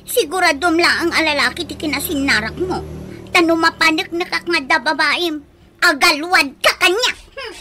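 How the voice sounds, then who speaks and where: High-voiced, jealous sweetheart. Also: female voice, moderate pace, bright, forceful tone, slight background noise. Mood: jealous, scolding. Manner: scolding, accusing. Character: jealous, suspicious, bossy sweetheart of an old man. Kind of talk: radio comedy drama acting.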